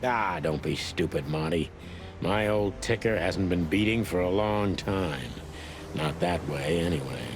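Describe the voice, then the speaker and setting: A man's voice: low voice, measured pace. A man playing a cynical, world-weary old rooster police detective in a noir game, dry and brooding. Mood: grim, cynical. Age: older. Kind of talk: film noir game voice acting. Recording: music behind the voice.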